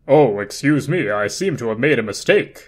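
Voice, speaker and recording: A woman's voice: very deep voice, animated, normal speed, darkish tone, voice pushed down. A woman voicing a stern, proper old vacuum cleaner with a terse manner in a storybook reading. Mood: polite, taken aback.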